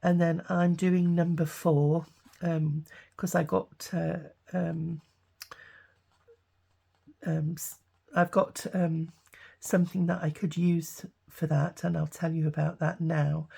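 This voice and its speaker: A woman with a cold, croaky from a sore throat, chatting through a craft tutorial with lots of ums and restarts. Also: low voice, dark tone. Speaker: craft vlogger. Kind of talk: casual craft tutorial chat.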